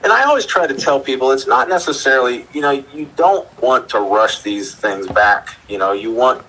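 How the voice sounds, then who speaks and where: A man giving a casual interview as a veterinarian guest, talking in a relaxed, rambling way with many fillers. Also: mid-range voice, normal speed, slight background noise, rough recording.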